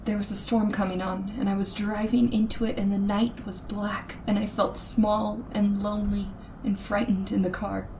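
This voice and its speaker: Casting: actress as anxious woman alone at night, mid pitch, hesitant, shaky, radio suspense style, noise behind. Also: flat delivery, normal speed, vocal fry, hardly any pauses, a little mellow, close to an everyday voice, low-quality recording. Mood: uneasy. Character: nervous red-haired woman driving alone at night, frightened. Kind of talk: old-time radio suspense acting, first-person narration.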